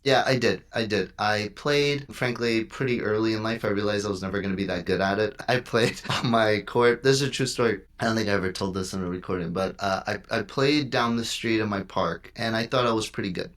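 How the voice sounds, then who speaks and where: A man's voice: lowish voice, brisk, few pauses, a bit bright. A man in his thirties, born in Ukraine and raised in New Jersey, chatting easily as a podcast guest and laughing at his own remarks. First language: Russian. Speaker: podcast guest, startup CEO. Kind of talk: casual chat.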